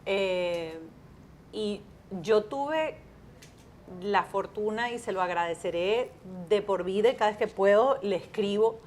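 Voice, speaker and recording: A woman's voice: mid-range voice, slow, darkish tone, slight background noise. A Venezuelan woman, a television presenter, chatting in an interview and telling stories animatedly, with frequent restarts and fillers. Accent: Venezuelan Spanish. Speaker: guest, TV presenter. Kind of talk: casual interview chat.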